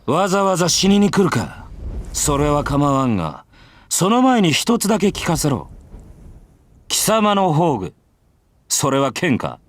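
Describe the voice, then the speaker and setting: A man playing a cocky, battle-hungry warrior in a Japanese visual novel, with rough, taunting, informal speech. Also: on the high side, wildly swooping pitch, normal speed, long pauses. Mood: mocking.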